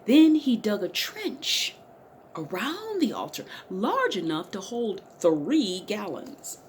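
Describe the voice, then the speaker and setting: A woman narrating a Bible story as a theatrical, emphatic dramatic reading, moving between hushed and loud delivery. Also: mid-range voice, sing-song, slow, slight background noise. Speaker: dramatic reader.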